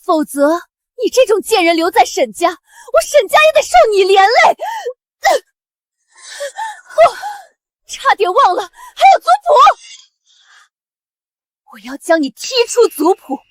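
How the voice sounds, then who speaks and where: A woman's voice: very high-pitched, big pitch swings, a little slow, pauses often, no room sound, exaggerated voice. An actress playing a spiteful young noblewoman who sneers and gloats as she disowns a relative. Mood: gloating. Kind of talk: short-drama acting.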